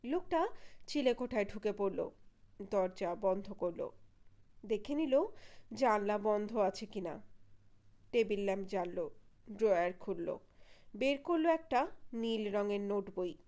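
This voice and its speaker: A woman's voice: mid-range voice, expressive, unhurried, pauses often, a little mellow. A woman reading a suspense story as scripted dramatic narration.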